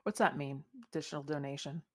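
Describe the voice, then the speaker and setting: A woman co-hosting a podcast in casual chat, animated and full of questions. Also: low voice, quick pace, crowd noise. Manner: animated, inquisitive, laughing. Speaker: podcast co-host.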